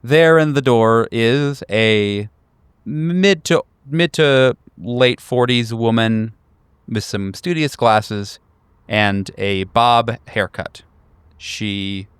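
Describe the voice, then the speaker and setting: A man runs a horror tabletop game as narrator. His voice is smooth and clear, slow and deliberate, with dramatic pauses and a close, dry sound. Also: mid-range voice, a bit bright, dry recording. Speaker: game master.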